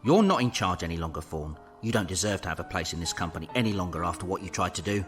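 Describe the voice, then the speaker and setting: Man acting a low, quick, bitter ex-director. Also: lively intonation, music in the background. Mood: scornful. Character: bitter male former company director, hostile, scornful. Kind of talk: science fiction audio drama acting.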